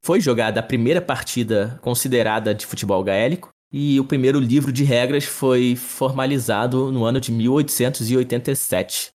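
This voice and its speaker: A young man co-hosting a podcast, explaining sports history in a relaxed, chatty way, often adding little tag questions. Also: mid-range voice. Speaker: podcast co-host. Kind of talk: casual explanatory chat.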